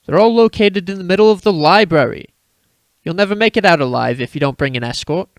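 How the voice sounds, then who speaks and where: A man's voice: sits high, lively intonation, fairly quick, dry recording. A man acting a bold, cocky teenage schoolboy in a fan fiction audio drama, speaking in an earnest, boastful way.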